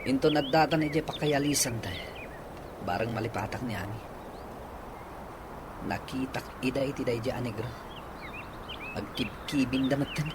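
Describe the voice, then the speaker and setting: A man's voice: mid-range voice, moderate pace, pauses often, natural voice. Noisy recording, heartsick young Ilocano man acted. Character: heartbroken young man pining after a woman. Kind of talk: Ilocano radio drama acting.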